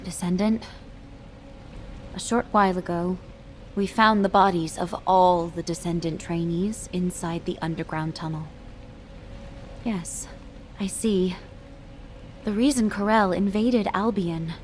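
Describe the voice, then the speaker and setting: A woman's voice: fairly low pitch, steady tone, a little hiss or hum, close to an everyday voice. Grave, resolute female commander voiced by an actress. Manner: solemn, measured.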